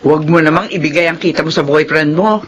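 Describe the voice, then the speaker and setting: A woman's voice: sits low, fast, close to an everyday voice. A Filipino woman playing a bossy but caring older salon owner in a radio drama. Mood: scolding concern.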